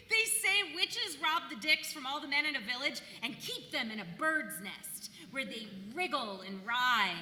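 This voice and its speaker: A woman's voice: sits high, pushed and bright, exaggerated voice. A woman in a staged play reading, playing a bawdy, weary seventeenth-century village housewife with a mocking, emphatic way of talking. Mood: bawdy, teasing. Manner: mocking, irreverent, emphatic storytelling. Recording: echoey hall, distant, noisy room tone.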